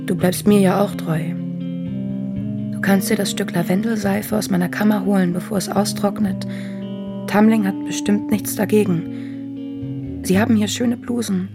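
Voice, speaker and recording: A woman's voice: mid-range voice, steady tone, normal speed, creaky, pauses often, loud music behind the voice, natural voice. An actress playing a young woman who reads a letter aloud in a radio drama, plainly and intimately. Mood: plain, tender.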